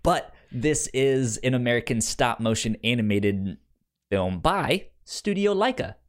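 Male podcast co-host, mid-pitched, casual explainer who trips over and repeats words. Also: animated, measured pace. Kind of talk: casual pop culture podcast talk.

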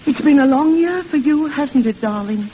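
An actress playing a frank, kindly old grandmother in a 1940s radio drama, gentle, knowing and amused. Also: high-pitched, expressive, moderate pace. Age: older. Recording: muffled, hissy old radio recording.

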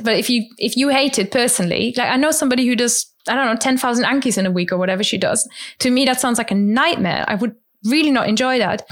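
Chatty German-born woman guest. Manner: chatty, restarts often, acts out quoted thoughts in a higher voice. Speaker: interview guest, language learner. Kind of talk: casual conversational explanation.

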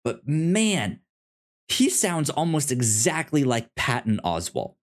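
Slow, halting man with very animated intonation. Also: mid-range voice. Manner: halting, stammering over words, enthusiastic. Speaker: podcast co-host. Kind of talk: casual film discussion chat.

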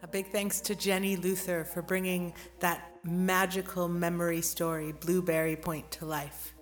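A woman with a fairly low voice presents as a show host. Her delivery follows a script. Faint music plays behind her. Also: flat delivery, a little slow.

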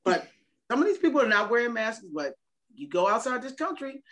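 Animated woman in her fifties, lively pitch. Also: fairly quick. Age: 50s. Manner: animated, slangy, acts out quotes. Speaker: podcast co-host. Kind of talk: casual chat, storytelling.

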